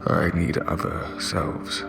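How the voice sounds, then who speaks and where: An actor playing a lonely, solemn male creator god in a fantasy audio drama, with halting, weighty, incantatory speech. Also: over music, close to an everyday voice. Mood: searching, intense.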